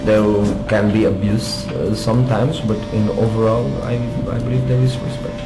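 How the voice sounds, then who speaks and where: Low-voiced man over background music. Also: fairly even intonation, normal speed, reverberant, poor audio. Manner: thoughtful, repeats words as he goes. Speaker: interviewee.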